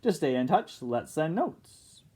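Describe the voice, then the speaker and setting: A storyteller voicing a friendly young pirate boy, explaining himself in an earnest, gentle, wistful way. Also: medium pitch, very animated, takes it slowly, husky, full character voice.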